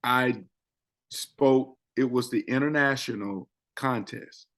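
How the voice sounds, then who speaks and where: A man's voice: mid-range voice, slow. A man who is a public speaking coach and co-host, chatting on a talk show and repeating phrases for emphasis. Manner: animated, swings loud and soft for effect. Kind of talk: casual show chat.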